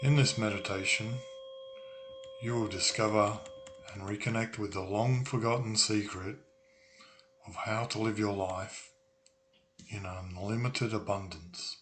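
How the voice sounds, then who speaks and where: A man narrating a guided meditation in a soothing, deliberate way with long pauses. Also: on the low side, steady tone, background noise. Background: humming tuning forks.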